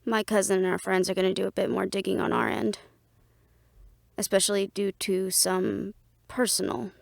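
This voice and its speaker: A woman acting a guarded, businesslike plotter in an audio roleplay drama, speaking in a measured, serious way. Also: mid-range voice, creaky, darkish tone, no echo, clean studio sound.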